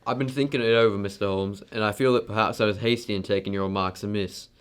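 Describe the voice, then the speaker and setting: A man's voice: fairly low pitch, steady tone, fast talker, a little hiss or hum, natural voice. A man playing a proud, defensive wealthy husband in an amateur radio drama, speaking bluntly. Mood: guarded, blunt.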